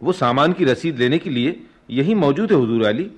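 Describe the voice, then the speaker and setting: Husky, deferential court officer. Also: male voice, medium pitch, expressive, moderate pace. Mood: dutiful. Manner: obsequious, formal reporting. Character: deferential male customs officer at a royal court. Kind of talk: Hindi radio play acting.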